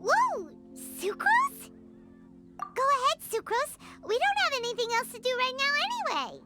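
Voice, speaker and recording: A woman voicing a tiny childlike fairy companion in a video game, cheeky, excitable and exclamatory. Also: very high-pitched, a little slow, exaggerated voice. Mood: curious, eager. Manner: speaks of herself in third person, exclamatory. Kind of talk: video game character voice acting. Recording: background music under the voice.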